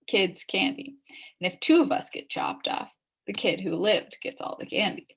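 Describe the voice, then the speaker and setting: A woman reading a children's story aloud in an expressive, dramatic way, dropping into hushed suspense at times. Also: medium pitch, gravelly, husky. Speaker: story reader.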